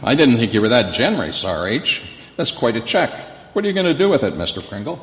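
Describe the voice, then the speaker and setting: Brash store owner, teasing, hearty, animated pitch, radio drama, echoey. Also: male voice, fast talker. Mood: goading. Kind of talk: old-time radio drama acting. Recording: echoey, reverberant hall.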